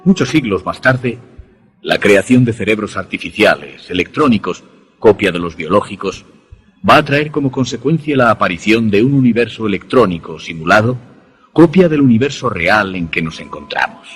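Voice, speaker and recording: A man's voice: lowish voice, animated, moderate pace, a bit bright, slightly rough. A man reading scripted dramatic narration in a solemn manner for a radio story. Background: music.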